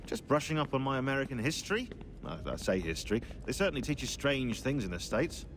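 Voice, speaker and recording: A man's voice: mid-range voice, big pitch swings, fast talker, fairly bright tone. A man voicing a bookish, sarcastic young British historian in a video game, pedantic and emphatic in conversation. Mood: wry, instructive. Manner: wry, pedantic, emphatic storytelling. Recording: music playing behind the voice.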